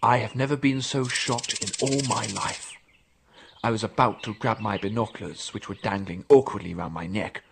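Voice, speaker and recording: An actor playing a professor who recounts a time-travel expedition in measured, literary radio drama narration, full of awe. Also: male voice, lowish voice, creaky, slight background noise. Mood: awestruck.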